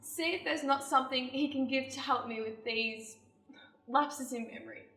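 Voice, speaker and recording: A young woman playing an ailing, smug brother in a stage monologue, talking in a quiet, casual drawl. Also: high voice, moderate pace. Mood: languid, pleased. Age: young adult. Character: Arthur, ailing smug brother, fond and wheedling. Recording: echoey room, distant mic, music.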